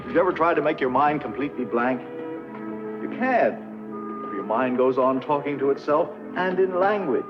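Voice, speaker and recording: An older man playing a patient, kindly professor, lecturing deliberately and reassuringly in a 1950s educational film. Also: mid-range voice, animated, natural voice. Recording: dull vintage film soundtrack, music behind.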